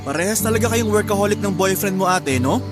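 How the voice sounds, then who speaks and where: A man's voice: sits high, lively intonation, fast. An actor playing an easygoing young father who is the peacemaking brother in a Tagalog radio drama. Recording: loud music under the dialogue.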